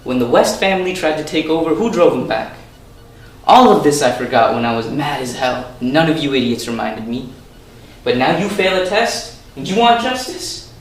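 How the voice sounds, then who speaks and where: A man's voice: a little high, expressive, normal speed, a little hiss or hum, natural voice. A man playing a remorseful mob boss who angrily rants at his family, in an amateur stage play. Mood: furious. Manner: angry, ranting. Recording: echoey room, distant mic.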